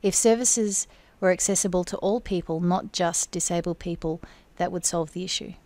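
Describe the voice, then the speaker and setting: A woman interpreting for an interview guest in the first person, speaking in measured, deliberate phrases. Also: fairly low pitch, a little mellow, dry recording. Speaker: sign language interpreter voicing the guest.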